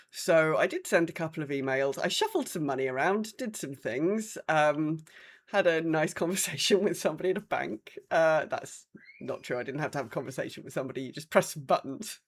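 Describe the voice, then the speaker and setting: A British woman co-hosting a podcast with casual, wry, rambling chat. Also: low-pitched. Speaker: podcast co-host.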